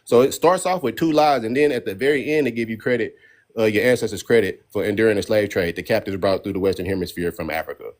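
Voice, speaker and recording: A man's voice: on the low side, fast talker, a little mellow. A man hosting a casual debate on a phone livestream, talking bluntly in profane street slang.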